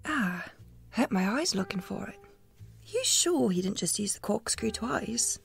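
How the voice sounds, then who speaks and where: A woman voicing an anxious, caring young woman who talks in a breathy, hushed, worried way. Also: medium pitch, very animated, unhurried, faint music behind, full character voice.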